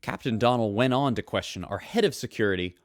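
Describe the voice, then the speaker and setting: An actor playing a chatty, upbeat radio host on a ship, presenting news in a breezy, conversational way. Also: male voice, on the low side, fast talker, dry recording, studio quality, close to an everyday voice.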